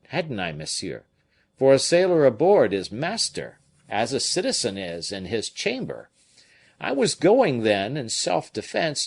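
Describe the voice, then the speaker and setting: A man voicing an excitable Provençal fisherman with a Provençal accent, telling his tale loudly and emphatically. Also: medium pitch, pitched above the performer's own voice. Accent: Provençal French, as acted. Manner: loud, emphatic, indignant storytelling.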